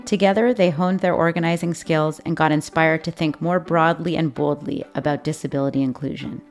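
A woman hosting a podcast, reading a scripted introduction and interviewing guests in a measured, clear way. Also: low-pitched, fairly even intonation, faint music behind. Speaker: podcast host.

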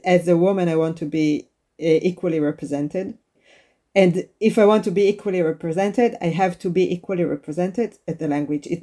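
A woman's voice: on the low side, warm tone. A woman in her thirties with an Israeli accent, a native Hebrew speaker, speaking earnestly as an interview guest with some uhs. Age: 30s. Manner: earnest, with uhs and small slips. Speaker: guest, activist.

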